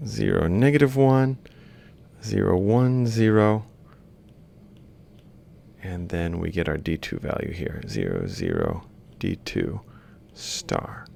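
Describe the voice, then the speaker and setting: A man's voice: sits low, lively intonation, slow pace, creaky, dark tone, slight background noise. A man giving an instructional lecture as he works on screen, explaining step by step and reciting values piece by piece. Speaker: university lecturer. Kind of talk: instructional screen-capture lecture, thinking aloud while writing.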